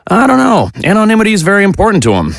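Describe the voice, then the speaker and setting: An actor playing a soft-spoken, hesitant middle-aged father in an audio drama. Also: sits high, huge pitch swings, racing, gravelly, no room sound, clean studio sound, heavily acted. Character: gentle, evasive middle-aged father and tailor.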